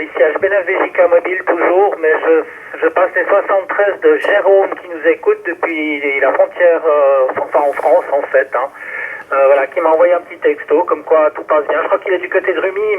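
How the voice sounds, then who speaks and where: A man with a slightly high voice speaks over mobile amateur radio. He rambles informally and hesitates. The sound is narrow, with faint noise. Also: fairly even intonation, fairly quick. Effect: ham radio transmission. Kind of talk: informal radio relay message.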